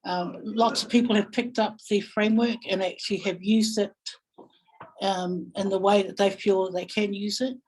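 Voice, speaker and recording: A woman with a New Zealand accent speaking reflectively in a webinar, pausing thoughtfully and searching for her words. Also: medium pitch, little pitch movement, a little mellow, husky, low-quality recording. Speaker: guest webinar speaker, family violence practitioner.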